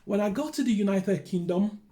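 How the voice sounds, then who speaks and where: Earnest Nigerian man, mellow voice. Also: sits high, expressive, brisk. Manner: earnest, candid, repeats phrases like "to be honest". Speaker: careers adviser. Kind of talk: personal story and career advice to camera.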